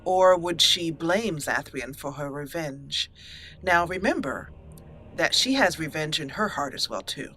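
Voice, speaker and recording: A woman hosting a let's play, narrating in a theatrical, deliberate roleplay style with dramatic pauses. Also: deep voice, lively intonation, fairly bright tone, a little hiss or hum, poor audio. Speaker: gaming channel host.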